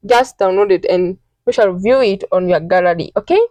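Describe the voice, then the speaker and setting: A woman narrating an informal step-by-step tutorial in a halting, repetitive, instructional way. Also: medium pitch, darkish tone, dry recording. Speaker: tutorial host.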